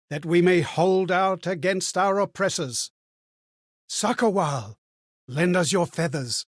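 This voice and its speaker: Polite lost ghost for a game, kinda high voice, bit husky, theatrical and wordy. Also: male voice, dry recording, studio quality. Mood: solemn, ceremonial. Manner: theatrical, refined, contemplative, wordy. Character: courteous, bewildered disembodied spirit reliving lost memories. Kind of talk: dramatic video game voice acting, storytelling.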